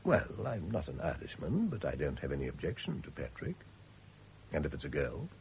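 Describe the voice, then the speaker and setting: An actor playing a sardonic, world-weary husband in a radio drama, dry and articulate. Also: male voice, extremely low pitch, wildly swooping pitch, fairly quick, gravelly, a little mellow, lo-fi sound, heavily acted. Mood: weary, relieved. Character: sardonic, world-weary husband under suspicion, quick to anger. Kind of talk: period radio drama acting. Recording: hissy old radio drama recording.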